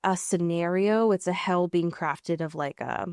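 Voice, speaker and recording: Lower-voiced American woman podcaster, emphatic and rambling. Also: unhurried, a little mellow, clean tone, no room sound, clean studio sound. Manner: emphatic, sweary, rambling with self-corrections. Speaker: podcast co-host. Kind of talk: casual TV and film recap chat.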